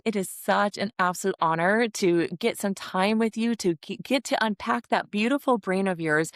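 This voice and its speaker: A woman's voice: mid-range voice, no room sound, clean studio sound. A woman hosting a podcast, reading an enthusiastic introduction of her guest. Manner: enthusiastic, effusive. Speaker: podcast host.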